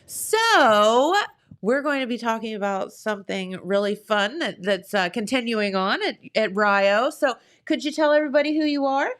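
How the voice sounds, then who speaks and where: A woman's voice: a little high, lively intonation, moderate pace, fairly bright tone. Cheerful local TV host, woman, bubbly chat and weather reads. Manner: bubbly, upbeat, laughs easily. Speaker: morning show host. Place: local TV studio.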